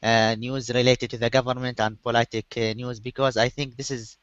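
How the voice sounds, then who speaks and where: A man's voice: mid-range voice, little pitch movement, fairly quick, fairly bright tone, dry recording. A man answering as a student in a second language, hesitant with many uhs. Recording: dry, dull lo-fi audio.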